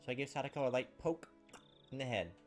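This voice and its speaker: Guy reading a visual novel out loud, talks quick, chats a bit about it, game music behind him. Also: mid-range voice, expressive. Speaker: gaming video host. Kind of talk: reading visual novel text aloud with casual commentary. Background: video game music.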